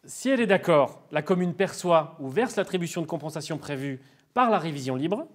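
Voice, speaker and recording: A man's voice: a little high, animated, brisk. A man giving formal, didactic explanations as an expert in an interview, in structured, prepared sentences.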